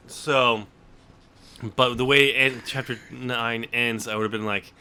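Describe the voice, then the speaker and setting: A man with a bright, forceful voice at an ordinary pitch hosts a relaxed book discussion. He speaks in a halting way, breaking off and restarting his sentences as he works through a thought. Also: smooth. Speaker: podcast host and novelist.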